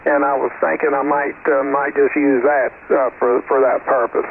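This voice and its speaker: A man's voice: mid-range voice, flat delivery, normal speed. A man with an American accent, an amateur radio operator, chatting about technical matters over the air in a friendly, hesitant way. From North Carolina, United States. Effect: radio transmission. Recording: narrow tinny shortwave radio, clear static noise.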